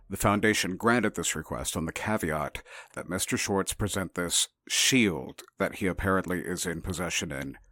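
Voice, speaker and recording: A man plays a clinical research official in an audio-log drama. The voice sits on the low side, measured and formal. It is recorded close and dry. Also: a bit bright, no room sound. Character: detached, formal male research official logging an interview. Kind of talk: scripted audio-log acting.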